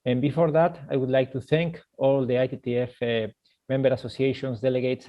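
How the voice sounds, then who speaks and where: A man chairing a formal online meeting, speaking in a measured way with frequent "uh" pauses. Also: medium pitch, highs cut off. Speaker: meeting chair, secretary general.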